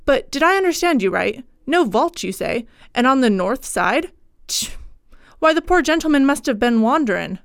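A woman's voice: fairly high pitch, animated, fast, clean tone, dry recording, studio quality, close to an everyday voice. A woman voicing a humble male parish clerk in a storybook reading, deferential and rustic in his phrasing. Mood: shocked. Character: deferential, easily shocked village parish clerk, man.

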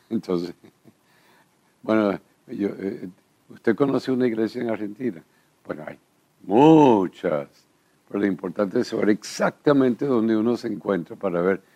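A man's voice: on the low side, lively intonation, a little slow, pauses often, warm tone, muffled recording. An older man, a pastor, talking earnestly in a church program conversation.

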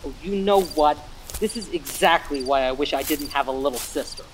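A man's voice: on the high side, brisk, slight background noise, natural voice, rough recording. A man playing a bossy, defensive young older brother in a dramatic audio drama. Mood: angry, defensive. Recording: faint outdoor night ambience, crickets.

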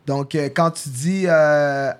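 A man's voice: on the high side, fairly even intonation, takes it slowly, clear, resonant tone. A man from Congo, explaining his view in a casual panel discussion, professorial, dropping in English slang. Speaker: podcast panellist.

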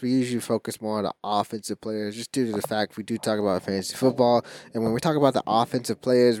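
A man's voice: lowish voice, a little mellow, dry recording. A man co-hosting a casual sports podcast, his voice stuffy from allergies, rambling and joking with frequent restarts. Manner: rambling, jokey, restarts often. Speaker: podcast co-host.

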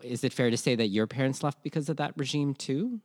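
A man's voice: mid-range voice, brisk, a little mellow. A man born in the Philippines, co-hosting a podcast and asking thoughtful follow-up questions from a remote recording. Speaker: podcast co-host. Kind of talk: conversational podcast interview.

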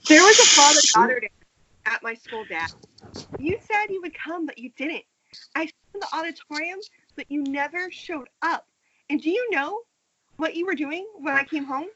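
A woman playing a hurt young daughter with a very high, bright voice, emotional and accusing. The table read comes over a dull internet call with some noise. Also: lively intonation, normal speed. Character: wounded schoolgirl daughter accusing her drunk father. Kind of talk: amateur table read of a screenplay.

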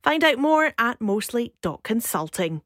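Bright woman announcing a show intro. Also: on the high side, very animated, unhurried. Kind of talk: scripted promo announcement.

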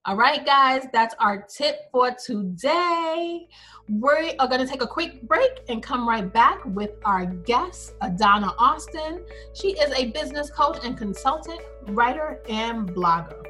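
Bright, upbeat woman podcast host. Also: high voice, expressive, unhurried, faint music behind. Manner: upbeat, chatty, laughs easily. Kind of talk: casual interview hosting.

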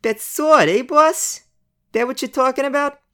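A woman's voice: high-pitched, measured pace, fairly bright tone. A woman voicing a brash, irritable male city guard officer in a fantasy radio drama, with blunt, slangy talk. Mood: probing. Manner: slangy, blunt, deferring to boss.

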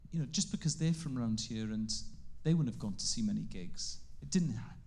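A man's voice: medium pitch, lively intonation, fairly quick, creaky, darkish tone. A Welsh man in his forties whose first language is Welsh, a comedian guest telling stories in casual chat and laughing often. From Wales. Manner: chatty storyteller, laughs often.

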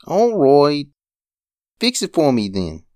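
A woman's voice: low-pitched, sing-song, takes it slowly, warm tone, no room sound, studio quality, full character voice. A woman voicing a small, anxious boy in a story, plaintive and demanding yet unsure of himself. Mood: hesitant.

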